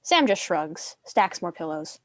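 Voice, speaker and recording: Young woman narrating, slightly low, dry recording. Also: big pitch swings, a little slow, no echo. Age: young adult. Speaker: stage directions narrator. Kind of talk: scripted narration.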